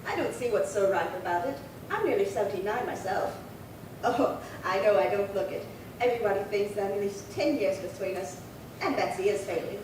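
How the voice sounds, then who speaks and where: A woman's voice: medium pitch, lively intonation, brisk, close to an everyday voice. A woman acting a prim, chatty, indignant old lady in her late seventies in a 1950s-style radio crime drama, fussy and rambling. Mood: peevish. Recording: very echoey, distant, noisy room.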